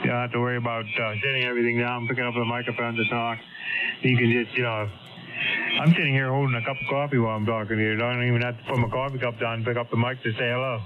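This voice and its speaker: A man's voice: mid-range voice, little pitch movement, racing. A man on a ham radio link, chatting casually and rambling with lots of fillers and repeats. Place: home radio shack. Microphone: boom mic on an arm, keyed by foot pedal. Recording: tinny noisy radio signal.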